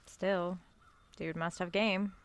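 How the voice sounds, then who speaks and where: A woman's voice: on the low side, steady tone, slow. A young woman acting in a radio drama as a secretive, frustrated college student with a casual, slangy manner. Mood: casual, awkward. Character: secretive, frustrated college-age granddaughter on a search.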